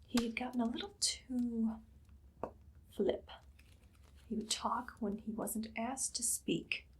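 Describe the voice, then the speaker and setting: A woman playing an imperious, idly cruel evil queen in an ASMR roleplay, soft-spoken and often whispering in a languid, condescending way. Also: on the high side, steady tone, slow, slight background noise. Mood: menacing amusement. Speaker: ASMR performer.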